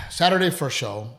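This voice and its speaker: A man's voice: fairly high pitch, fairly bright tone. A middle-aged man, a comedian hosting a podcast, chatting casually in a rambling, profane, self-deprecating way. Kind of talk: casual chat.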